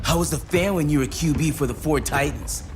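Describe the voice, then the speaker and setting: A man's voice: fairly high pitch, a little fast, natural voice. An actor playing a cocky, wisecracking young male superhero with an American accent in a video game cutscene. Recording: loud music behind the voice.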